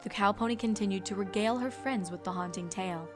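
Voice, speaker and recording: A woman's voice: mid-range voice, fast talker. A woman reading scripted third-person narration for a fan fiction story. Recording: background music under the voice.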